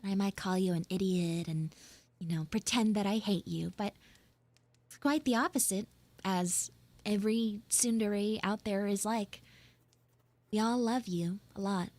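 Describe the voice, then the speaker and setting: A woman's voice: medium pitch, a little mellow, no room sound, close to an everyday voice. A woman voicing a smug, playful tsundere frog schoolgirl in a live audio roleplay, speaking in a coy, teasing way. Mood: coy, affectionate. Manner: coy teasing, drawn-out pauses, occasional whispered asides.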